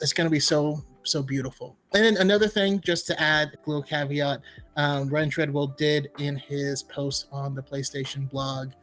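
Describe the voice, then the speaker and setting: A man co-hosting a casual gaming chat, talking in an excitable, rambling way. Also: medium pitch. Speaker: podcast co-host. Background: music.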